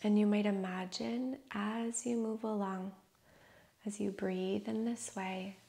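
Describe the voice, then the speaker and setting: A woman leading a guided breathing meditation, counting breaths softly and slipping into whispers. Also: medium pitch, steady tone, vocal fry. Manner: slow, soft, soothing counting, drifting into whispers.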